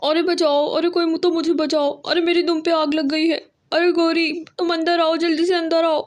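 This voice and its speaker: A woman's voice: very high voice, little pitch movement, moderate pace, pitched above the performer's own voice. A woman voicing a sly, henpecked male crow thief in a cartoon, placating and scheming. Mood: panicked.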